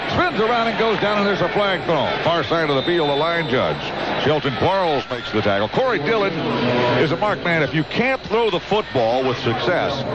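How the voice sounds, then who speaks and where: An American man does live radio play-by-play of a football game. His voice sits a bit high and he is emphatic, drawing out the big moments. Heavy noise from the stadium surrounds him. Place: stadium broadcast booth. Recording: stadium radio broadcast over loud background noise.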